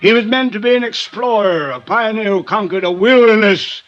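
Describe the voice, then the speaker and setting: A man's voice: sits high, moderate pace, fairly bright tone. An actor playing a bitter, drunk older man with florid, formal, theatrical speech in a 1950s radio drama. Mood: melancholy, weary. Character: bitter drunken aging former friend of a racketeer, world-weary. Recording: dull vintage radio recording, music behind.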